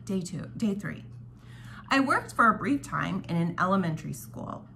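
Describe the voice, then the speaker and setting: Lady reading a devotional out loud at her dining table, some chatty asides, bit of noise behind her. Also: fairly low pitch, lively intonation, moderate pace. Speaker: devotional host reading aloud. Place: dining room at home. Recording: home recording with clear background noise.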